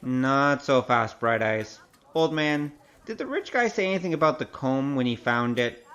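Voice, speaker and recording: A woman's voice: very deep voice, lively intonation, moderate pace, fairly bright tone, clear, resonant tone, lo-fi sound. A woman acting in an audio drama as a wry, streetwise investigator with a cocky, teasing, casual manner. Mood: shrewd, brisk.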